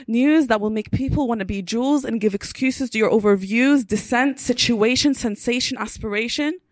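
A woman's voice: on the high side, normal speed, no echo. Young woman reciting spoken word. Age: 20s. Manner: rhythmic, emphatic recital. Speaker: spoken word poet on a panel. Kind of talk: live spoken word performance.

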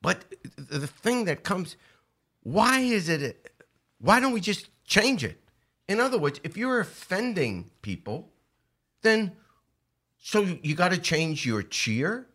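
A man's voice: high-pitched, sing-song, takes it slowly, pauses often, fairly bright tone. An American man giving conversational opinion commentary, earnest and emphatic, posing rhetorical questions. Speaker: talk show host.

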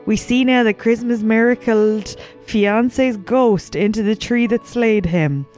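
A woman's voice: a little high, slow, a little mellow. Joking woman podcaster with music behind her. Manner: sweary, joking. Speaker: podcast co-host. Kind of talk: casual banter and mock-dramatic script reading.

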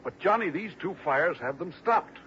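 A man's voice: fairly high pitch, expressive, normal speed, a bit bright. An actor playing a genial, talkative middle-aged American businessman in an early 1960s radio drama, with a hearty manner. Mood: earnest, concerned. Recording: noisy, dull vintage radio recording.

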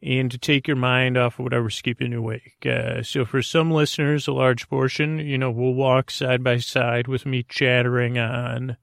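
A man hosting a bedtime podcast in a rambling monologue, gravelly and hesitant, meandering off into tangents. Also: medium pitch, level tone, normal speed, hardly any pauses, fairly bright tone, dry recording, clean studio sound. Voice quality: gravelly, creaky. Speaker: podcast host.

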